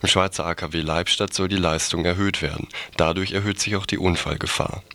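A man's voice: deep voice, steady tone, brisk, pushed and bright. A man reading scripted news items on the radio as a news reader.

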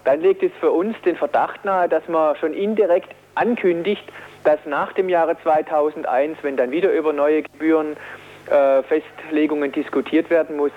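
Higher-voiced halting man, dull lo-fi audio. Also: fairly even intonation. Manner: halting, frequent hesitations and repeated words. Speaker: Green politician, media policy spokesman. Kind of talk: political radio interview, spontaneous answers. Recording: dull band-limited radio interview audio.

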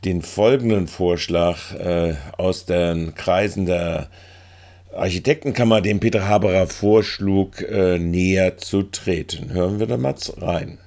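Guy doing political commentary, deep voice, slow, wordy winding sentences. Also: vocal fry, darkish tone. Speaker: reporter.

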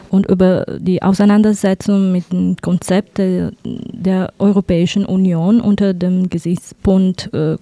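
A woman radio presenter introducing a report in a halting, measured way. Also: on the low side, creaky, mellow, no echo.

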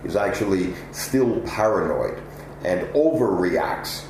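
A man giving measured, articulate and emphatic answers as an interview guest. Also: sits low, big pitch swings. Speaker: interview guest, political analyst. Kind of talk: answering interview questions at length. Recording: echoey room with loud ambient noise.

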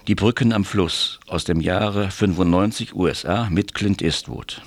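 A man's voice: deep voice, a little slow. A man reading event listings on the radio in an informal, chummy way, addressing listeners directly.

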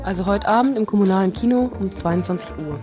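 A woman's voice: medium pitch, fast talker. A woman presenting informal radio event listings, reading a text aloud and sometimes stumbling over the words. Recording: radio show over faint music bed. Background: soft background music.

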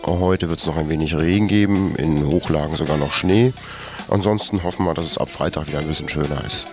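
Male radio announcer, very low voice, loud plucked guitar underneath. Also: brisk. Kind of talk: scripted radio segment announcements. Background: loud plucked guitar music.

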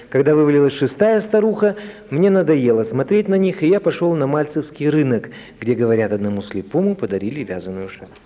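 Male literary reader, soft dark timbre, medium pitch, heard in a big reverberant space. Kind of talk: literary prose reading. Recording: long reverberant hall sound.

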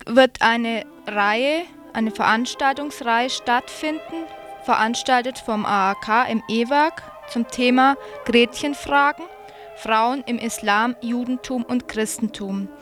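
A woman's voice: on the high side, takes it slowly, fairly bright tone, soft background music. A woman radio presenter reading out event announcements for listeners.

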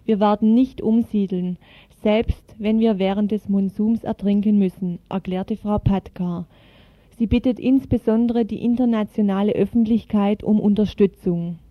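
A woman's voice: mid-range voice, steady tone, moderate pace, dry recording. A woman reading a scripted radio feature in the manner of a news report. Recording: muffled, band-limited radio recording.

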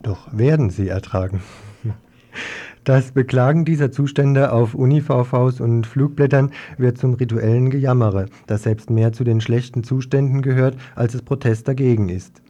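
Mellow-voiced man reading radio news. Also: medium pitch, moderate pace, muffled recording. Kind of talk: reading a scripted political report.

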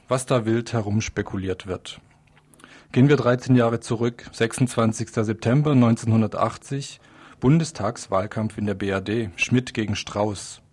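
Husky-voiced man reading political commentary. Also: medium pitch, a little mellow. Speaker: radio commentator. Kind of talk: scripted political radio commentary.

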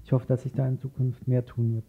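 A man hosting an informal radio interview, speaking haltingly as he searches for words. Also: medium pitch, flat delivery, husky. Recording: dull, lo-fi radio recording.

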